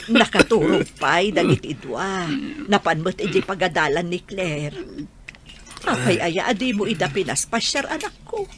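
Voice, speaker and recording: A woman's voice: lowish voice, animated, moderate pace, a bit bright. A woman playing a worried, doting older mother in an audio drama, with a fussing, anxious manner. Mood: anxious care. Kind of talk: dramatized story acting. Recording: clearly noisy background.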